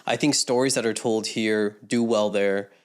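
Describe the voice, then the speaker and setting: A man co-hosting a casual podcast chat, opinionated and teasing in quick back-and-forth. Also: lowish voice, fairly even intonation. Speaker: actor and writer, podcast co-host.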